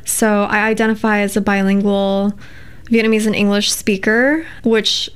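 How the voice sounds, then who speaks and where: A woman, a poet, talking in a relaxed interview and choosing her words thoughtfully, with many fillers. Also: medium pitch, moderate pace, smooth. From United States. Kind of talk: casual interview conversation.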